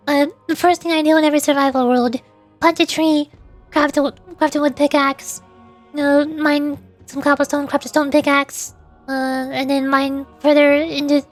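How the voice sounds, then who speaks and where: A woman streamer chatting casually over gameplay in a chatty, giggly way. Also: high-pitched. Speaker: VTuber streamer.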